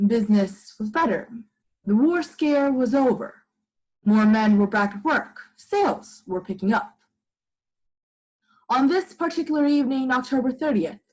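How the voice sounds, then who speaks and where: A young woman playing a solemn narrator in a radio play, with grave, deliberate, formal delivery. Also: sits high, darkish tone, poor audio. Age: young adult. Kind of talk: scripted dramatic narration. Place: living room at home.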